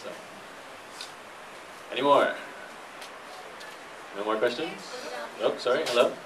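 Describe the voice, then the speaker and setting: A man's voice: mid-range voice, slow pace, recorded from a distance, a crowd in the background. A man giving an informal, explanatory talk and answering questions as a guest speaker in a noisy live room. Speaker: guest speaker, internet entrepreneur and blogger.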